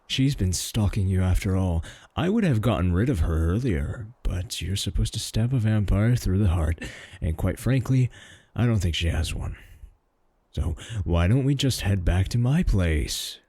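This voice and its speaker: A man's voice: sits low, lively intonation, moderate pace, mellow, breathy edge, no room sound, exaggerated voice. A man playing a cocky, doting werewolf in a comedic audio roleplay, mocking and boastful with a drawl. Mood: smug, mocking. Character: cocky, doting male werewolf, taunting romantic rival.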